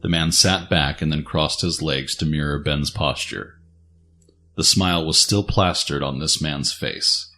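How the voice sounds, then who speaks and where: Very deep-voiced male story narrator. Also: a little slow, pushed and bright. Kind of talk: scripted dramatic story narration.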